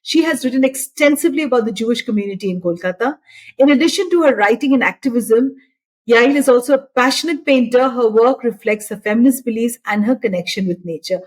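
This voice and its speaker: A woman's voice: on the high side, quick pace, low-quality recording. A woman hosting an interview show, giving an effusive, formal introduction of her guest. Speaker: show host.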